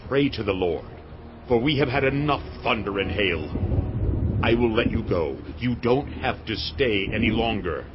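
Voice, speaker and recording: A man's voice: fairly low pitch, expressive, moderate pace, lightly acted. An actor playing a proud Egyptian king who declaims urgently and grudgingly pleads, in a dramatized audio Bible. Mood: urgent pleading. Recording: dull audio under loud storm noise.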